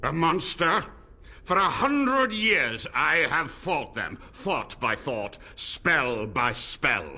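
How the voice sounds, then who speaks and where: An old blood mage, bitter and proud, slow and lively, bright tone. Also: male voice, a little high, full character voice. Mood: defiant.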